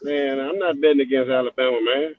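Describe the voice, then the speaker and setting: A man in his forties talking football casually as a panelist, animated and prone to repeating himself. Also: medium pitch, brisk, no echo, phone-quality sound. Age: 40s. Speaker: panelist on college football fan talk show. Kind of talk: casual sports chat.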